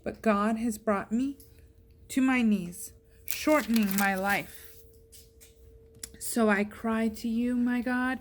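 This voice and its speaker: A woman with a fairly high voice reads scripture aloud. She is reciting a psalm from a written text. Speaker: scripture reader.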